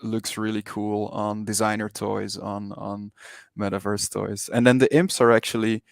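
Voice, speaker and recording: A man from Belgium with Dutch as his first language and a Dutch-speaking Belgian accent, chatting casually as an interview guest in a hesitant, halting way. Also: lowish voice, flat delivery, gravelly, dry recording, clean studio sound. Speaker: interview guest, digital artist.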